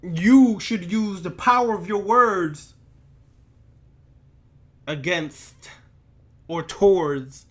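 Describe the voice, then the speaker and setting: A man lecturing in an emphatic, preachy manner, speaking straight to the listener and repeating words and phrases for weight. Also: on the high side. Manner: preachy, admonishing, addresses listener directly. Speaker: occult lecturer and teacher. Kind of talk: impromptu sermon-like lecture to camera.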